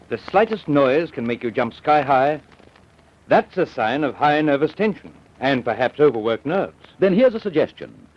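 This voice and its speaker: Animated radio adman, dull old recording. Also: on the high side. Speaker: commercial announcer. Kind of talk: old-time radio advertisement.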